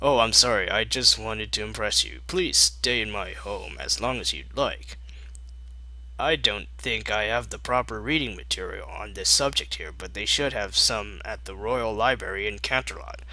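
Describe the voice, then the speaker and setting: An eager, flustered young mare of a storybook scholar, acted out by a man. Her voice is very sharp and forward, recorded close and dry. She speaks hesitantly and apologetically. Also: on the low side, normal speed, dry recording, poor audio. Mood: apologetic.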